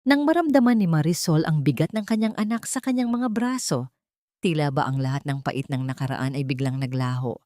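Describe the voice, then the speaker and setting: Low-pitched woman narrating a dramatic story. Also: a little fast, dark tone, no room sound, clean studio sound.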